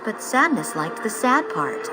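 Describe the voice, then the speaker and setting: A woman reading scripted storybook narration in an expressive, measured storytelling style. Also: medium pitch, rough recording. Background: music.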